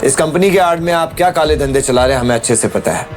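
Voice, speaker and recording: A man's voice: a little high, quick pace, lightly acted. A man playing a cool-headed, authoritative new company owner in an audio drama, speaking firmly and curtly. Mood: firm, commanding. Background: clear background music.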